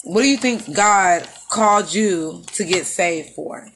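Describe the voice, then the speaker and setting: A woman hosting a casual podcast from an apartment, chatty and quick to laugh, talking to her listeners as "y'all.". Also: lowish voice, noisy background. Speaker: podcast host. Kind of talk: casual chat.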